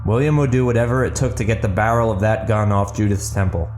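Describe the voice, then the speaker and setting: A man's voice: on the low side, fairly even intonation, fast talker, darkish tone. A man narrating fiction as a dramatic scripted audiobook. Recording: roomy echo, faint music underneath.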